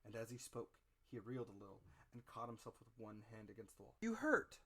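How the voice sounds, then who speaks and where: A man narrating a literary audiobook as a dramatic reading, theatrical, with deliberate phrasing in short units. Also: fairly low pitch, little pitch movement, breathy edge.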